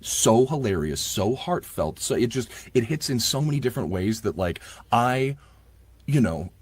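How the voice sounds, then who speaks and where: Man, husky, dry sound, casual interview talk, informal, effusive narrator. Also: medium pitch, sing-song, moderate pace, a little mellow, no room sound. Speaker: audiobook narrator.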